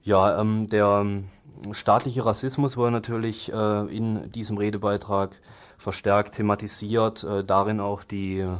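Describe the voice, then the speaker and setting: A male radio reporter giving an unscripted studio report, halting and searching for words. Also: fairly low pitch. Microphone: studio microphone.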